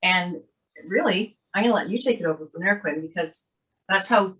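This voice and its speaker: A woman's voice: fairly low pitch, normal speed, fairly bright tone, poor audio. An older woman from Canada, a guest author, chatting in an interview and telling her life story in a rambling way with asides, over a video call. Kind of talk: casual interview chat.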